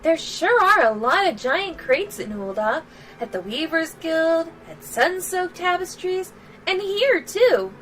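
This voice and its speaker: A woman voicing an excitable, cheerful young sidekick from a video game, bubbly, eager and wide-eyed. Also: very high-pitched, slow pace, a little hiss or hum, heavily acted, rough recording.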